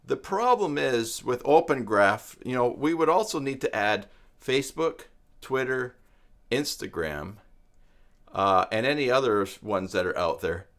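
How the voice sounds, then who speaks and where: A man's voice: mid-range voice, lively intonation, unhurried, a bit bright. A man hosting an unscripted live tutorial, explaining technical steps as he thinks aloud and rephrases himself. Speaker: live stream host, web tutorial teacher.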